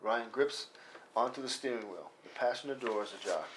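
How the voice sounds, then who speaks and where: A man's voice: medium pitch, animated, fairly quick, a bit bright. Man reading stage directions, noisy recording. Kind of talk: scripted narration at a table read. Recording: noisy live room recording with clicks.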